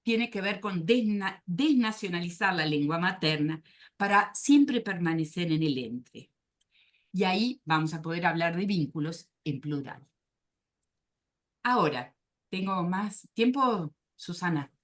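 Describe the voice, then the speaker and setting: A woman presents to a panel in an academic, reflective way. Her pitch moves a lot, rising and falling as she reaches for words, with frequent small hesitations. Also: animated, a little slow. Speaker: psychoanalyst panelist. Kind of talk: academic conference talk.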